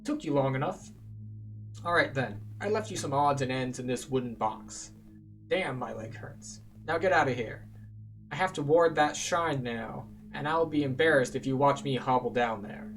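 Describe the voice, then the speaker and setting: A man's voice: mid-range voice, moderate pace, fairly bright tone, faint music behind, lightly acted. Man voicing a grumbling, blunt dwarf warder. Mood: grumpy, embarrassed.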